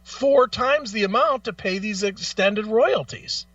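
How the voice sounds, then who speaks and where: A man's voice: sits high, a little slow, fairly bright tone, no echo, far from the mic, muffled recording. A man co-hosting a casual radio talk show, arguing his opinions bluntly and with plenty of swearing. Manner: blunt, profane, argumentative.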